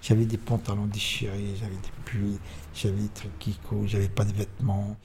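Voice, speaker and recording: A man in his sixties with a Tunisian accent, telling stories about his childhood in a halting, animated way. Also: a little hiss or hum. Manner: animated, halting, with sudden pitch jumps. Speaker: interviewee. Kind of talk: personal storytelling.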